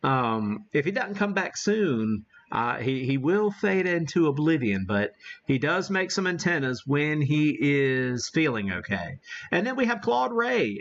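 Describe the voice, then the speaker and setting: A man's voice: medium pitch, fairly bright tone, muffled recording. A man hosting a ham radio livestream, chatting casually in a rambling, self-deprecating way as he demonstrates. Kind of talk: casual live-stream chat and demonstration.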